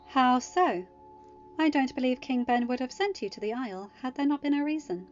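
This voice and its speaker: A woman voicing a composed goddess queen who speaks in a dry, measured, quietly cutting way. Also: high-pitched, voice pushed up, lightly acted. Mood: cool. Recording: muffled audio with soft music.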